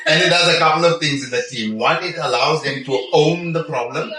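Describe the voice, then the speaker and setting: A man's voice: a little high, fairly quick, pushed and bright. A middle-aged man with a South African accent giving conversational interview answers as a technology executive, often checking in with a questioning "yeah?". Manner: frequent "yeah?" check-in tags. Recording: echoey, distant mic, busy crowd chatter.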